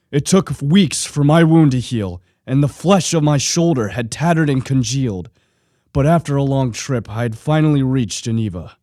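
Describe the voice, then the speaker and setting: Man playing a mellow, lonely, vengeful monster. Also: mid-range voice, no echo, studio quality, natural voice. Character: lonely, vengeful male monster created by a scientist. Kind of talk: radio play narration and dialogue.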